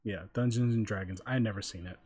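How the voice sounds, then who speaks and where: A man hosting a casual group discussion, reading out details in a measured way. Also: fairly low pitch, a little mellow, husky, no echo, clean studio sound. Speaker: podcast host.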